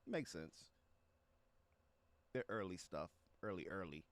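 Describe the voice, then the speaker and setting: A man hosting a music reaction stream, chatting casually in a halting, conversational way and often tagging phrases with a check for agreement. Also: low-pitched, big pitch swings. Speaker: music reaction show host. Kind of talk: casual livestream chat with viewers about music.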